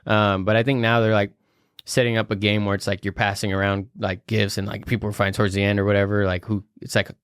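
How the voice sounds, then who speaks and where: A man's voice: fast, clear, resonant tone, dry recording. Joking young man, dry close sound. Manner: animated, joking, lots of fillers, jumps high in pitch when quoting people. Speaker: podcast co-host. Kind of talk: casual chat.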